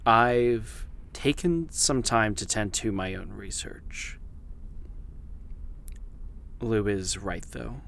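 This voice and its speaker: An actor playing an exhausted, obsessed male investigator in an audio drama, brooding and halting as he records his confessional log. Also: fairly low pitch, gravelly, a bit bright, exaggerated voice. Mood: weary, confessional.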